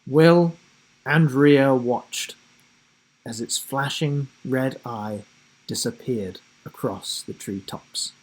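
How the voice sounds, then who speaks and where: A male storyteller reads a children's book with drama and suspense. His voice is medium in pitch and slightly husky. He takes his time, leaving deliberate pauses and animating the action. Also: poor audio. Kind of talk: dramatic scripted storybook narration.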